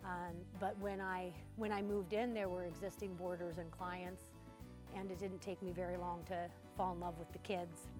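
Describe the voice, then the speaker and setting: A woman's voice: on the low side, flat delivery, moderate pace, music in the background. A woman who owns a horse ranch, answering interview questions in an easygoing way with many fillers. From Montana. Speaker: ranch owner, riding instructor.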